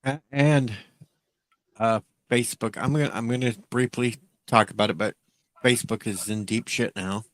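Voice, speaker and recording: A man hosting a casual talk show, cracking jokes in a deadpan way. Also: mid-range voice, normal speed. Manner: deadpan joker. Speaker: show host. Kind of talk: casual chat.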